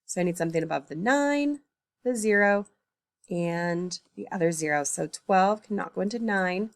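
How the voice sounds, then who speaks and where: Gravelly-voiced woman teaching math aloud. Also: on the low side, animated, moderate pace, no room sound, studio quality. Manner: thinks aloud, corrects her own slips. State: tired early in the morning. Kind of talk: recorded math lesson, working problems aloud.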